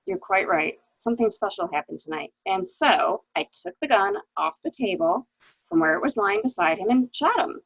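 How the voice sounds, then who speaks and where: A woman playing a cool, weary, bitter wife in a murder mystery reading, with a wry, deliberate, dramatic delivery on a lo-fi recording. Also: medium pitch, animated, a bit bright, husky, no room sound. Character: cool, weary, bitter wife who shot her husband. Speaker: actor in an amateur library reading.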